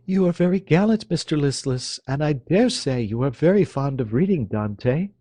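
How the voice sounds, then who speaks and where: A man's voice: fairly high pitch, expressive, normal speed, dark tone, no echo, studio quality, voice pushed up. A man voicing a coquettish, teasing young woman with a playful, mocking lilt.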